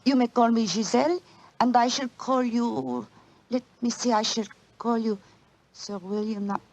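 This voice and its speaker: An actress playing a flirtatious, haughty French circus star with a French accent in a 1940s radio drama style. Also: female voice, a little high, steady tone, normal speed, a little mellow, lo-fi sound. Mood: seductive, coy. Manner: coquettish, theatrical.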